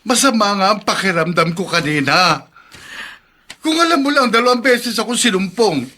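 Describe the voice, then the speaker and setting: A man's voice: sits high, lively intonation, moderate pace, sharp tone, heavily acted. A man playing an exasperated, blaming husband in a radio drama. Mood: complaining.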